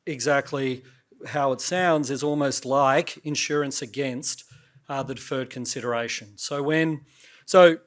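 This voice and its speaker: A man, a company CEO, pitching on stage at an investor event, his voice a little croaky and his talk loose, full of fillers and restarts. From Australia. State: slightly croaky voice.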